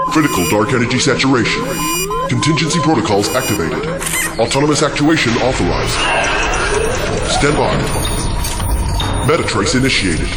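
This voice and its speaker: An actor playing a cold male AI computer system that gives flat, clipped status reports. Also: medium pitch, close to an everyday voice. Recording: roomy reverb under loud music.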